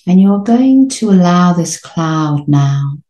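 A woman with a low, mellow voice leads a sleep meditation. She speaks very slowly and soothingly, leaving long gaps between short phrases. The sound is roomy, with a noticeable echo around her gentle delivery. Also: animated. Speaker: meditation guide.

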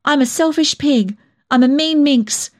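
Proud, emotional young woman, fervent and high-pitched, pleading storybook heroine, acted by a female narrator. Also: a little slow. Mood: miserable, fierce. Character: emotional, proud young woman, hot-tempered and self-reproaching.

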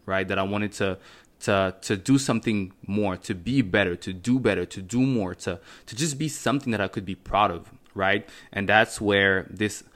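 A man's voice: on the low side, moderate pace, fairly bright tone. A man in his twenties with a slight French Canadian accent, a native French speaker, hosting a podcast in an earnest, motivational way with many fillers and restarts. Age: 20s. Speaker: podcast host. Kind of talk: casual solo podcast monologue.

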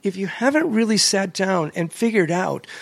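A woman's voice: medium pitch, normal speed, creaky, no room sound. A woman who is a professional speaker, chatting as a podcast guest and telling her stories emphatically. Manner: emphatic, animated storyteller. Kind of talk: casual interview chat.

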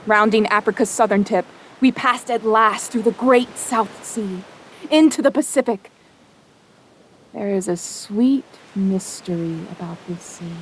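A woman's voice: mid-range voice, a little slow, slight background noise, lightly acted, low-quality recording. A woman playing the grave, wry seafaring narrator of a whaling tale, in dramatic scripted narration. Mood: storytelling.

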